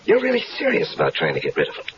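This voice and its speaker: A wary, streetwise man in a 1970s radio play, wry and skeptical. His voice is very deep but lively in pitch. The recording is dull with a faint hiss. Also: racing, exaggerated voice. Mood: guarded. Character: wary, streetwise man lured into a murder plot. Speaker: radio drama actor. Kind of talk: 1970s radio drama acting.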